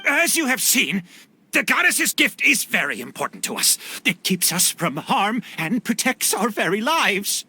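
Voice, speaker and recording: A worried, defiant townsman in a game cutscene. His delivery is piercingly bright and forceful, swinging in pitch as he argues urgently. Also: sing-song, unhurried, vocal fry, breathy edge, heavily acted. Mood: defensive, worried. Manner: combative, urgent. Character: defiant, worried citizen pleading to keep divine protection.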